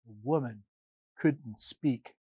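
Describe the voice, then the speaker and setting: A man reading a children's Bible story aloud as a priest, with dramatic, deliberate phrasing and pauses. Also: mid-range voice, darkish tone, breathy edge, dry recording.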